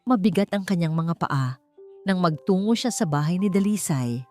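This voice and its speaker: Filipino female audiobook narrator, low-pitched and mellow, dramatic storytelling over music. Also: animated, normal speed.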